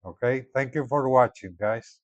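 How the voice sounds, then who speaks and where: A man giving casual unboxing and product commentary in an informal, halting way, often repeating words. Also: mid-range voice, no echo, muffled recording. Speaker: product reviewer.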